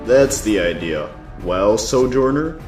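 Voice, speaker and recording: A man voices a watchful guardian father in a comic dub. He is serious and fairly low, in an echoey recording with faint music beneath. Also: sing-song, takes it slowly, darkish tone. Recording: echoey room, music underneath.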